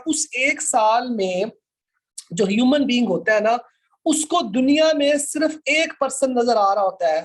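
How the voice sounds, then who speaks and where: A man with a Pakistani accent lecturing informally to a class, explaining step by step and repeating his points. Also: very high voice. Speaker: law lecturer.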